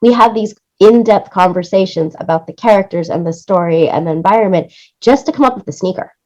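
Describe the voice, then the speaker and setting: A woman's voice: mid-range voice, fairly quick, a little mellow, low-quality recording. A woman being interviewed about her work in production, talking in an enthusiastic, rambling way. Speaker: guest, music supervisor and producer.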